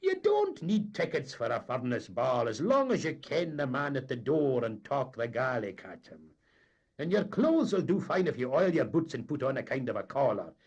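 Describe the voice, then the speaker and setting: A man's voice: medium pitch, sing-song, moderate pace, breathy edge, highs cut off, pitched above the performer's own voice. A man voicing a nervous, wheedling Scottish deckhand with a Scottish accent. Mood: coaxing. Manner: coaxing, persuasive. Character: wheedling anxious Scottish boat mate.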